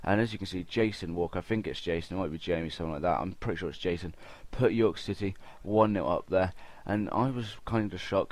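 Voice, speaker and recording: A man's voice: low voice, no room sound. A young man giving casual, chatty gameplay commentary, talking informally straight to his viewers. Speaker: gaming video host.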